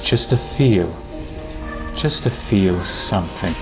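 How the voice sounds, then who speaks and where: A deranged male killer acted by a man confesses in an audio drama. The voice is very low and drags slowly, bitter and numb, with clear music behind. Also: animated. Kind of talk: comic-book audio drama acting.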